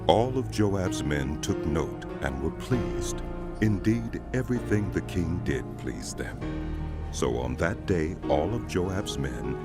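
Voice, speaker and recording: A man's voice: low voice, animated, slow, over loud music. A man narrating a dramatized scripture as scripted storytelling.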